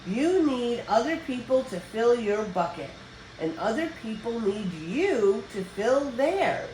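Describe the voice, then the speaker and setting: An older woman reading a children's picture book aloud as a storyteller, with expressive, deliberate phrasing for young listeners. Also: on the high side. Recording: roomy echo with background noise.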